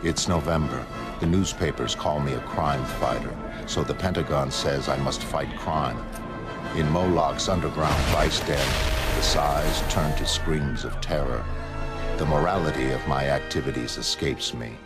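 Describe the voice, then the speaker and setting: A melancholy superhuman man with an extremely low voice. He is aloof and measured, stating everything matter-of-factly as in a comic-book narration. Music plays clearly behind him. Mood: detached. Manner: measured, detached, matter-of-fact. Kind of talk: scripted motion comic narration.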